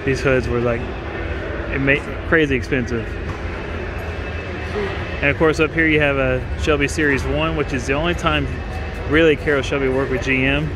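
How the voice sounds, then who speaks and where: A man's voice: mid-range voice, fairly even intonation, moderate pace, long pauses, over music. A young man giving informal, enthusiastic commentary while walking around cars at an outdoor auction lot, often saying "like". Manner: informal, enthusiastic, many "like" fillers. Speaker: car show videographer. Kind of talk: casual walkaround commentary. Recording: outdoor noise behind voice.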